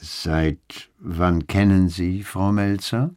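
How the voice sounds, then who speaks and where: A man's voice: sits low, fairly even intonation, drawn-out words, darkish tone, clear, resonant tone. An actor playing a probing, sardonic man who questions in a dry, needling way in a German radio play.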